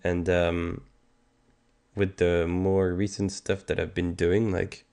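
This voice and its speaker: A man's voice: sits low, level tone, slow pace, darkish tone, smooth. A Canadian man in his thirties, sick with a stuffed nose and sore throat, chatting casually and rambling on a livestream. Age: 30s. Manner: rambling and hesitant, many ums and restarts. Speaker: music streamer.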